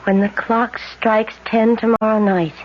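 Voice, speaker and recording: An actress playing a hypnotized young woman who repeats instructions back in a flat, dazed way, in an old radio drama. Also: fairly high pitch, measured pace, a little hiss or hum, close to an everyday voice. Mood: dazed, entranced. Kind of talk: 1970s radio drama acting. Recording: dull lo-fi old radio broadcast.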